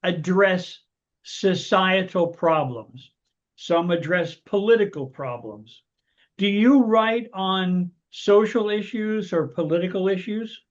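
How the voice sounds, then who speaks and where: High-voiced man host on lo-fi audio. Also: slow. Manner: friendly, rambling questions with restarts. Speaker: interview host. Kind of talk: casual interview questions. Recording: dull lo-fi audio.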